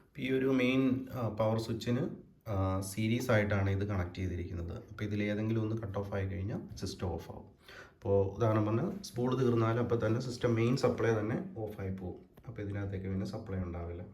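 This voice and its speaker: Kerala guy doing a hands-on demo, lowish voice, kinda halting, roomy echo. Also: darkish tone. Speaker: presenter of a gadget demonstration. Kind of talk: unscripted hands-on demonstration. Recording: echoey room with background hiss.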